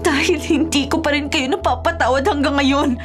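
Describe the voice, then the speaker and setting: A woman's voice: sits high, fairly even intonation, normal speed, over music, lightly acted. A woman acting in a Filipino radio drama as a bitter young daughter, scolding and accusing with emphatic delivery. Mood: bitter, unforgiving. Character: bitter, furious grown daughter scolding her mother.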